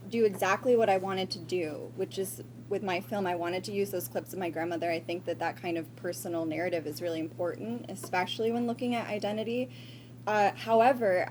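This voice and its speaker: A woman's voice: mid-range voice, a little mellow. Young woman answering questions, slightly noisy recording. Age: young adult. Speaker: student researcher answering questions. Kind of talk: semi-formal conversational Q&A. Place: live conference room. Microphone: shared event microphone.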